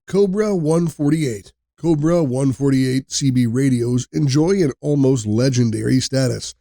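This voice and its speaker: A man reading scripted narration for a countdown video in a measured, explanatory way. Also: medium pitch, creaky, mellow, no room sound, clean studio sound.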